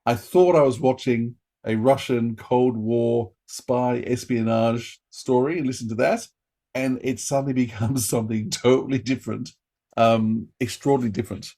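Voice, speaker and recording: Enthusiastic man reviewing, halting and effusive. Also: mid-range voice, fairly even intonation, warm tone. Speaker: podcast co-host and reviewer. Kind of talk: enthusiastic, casual review chat.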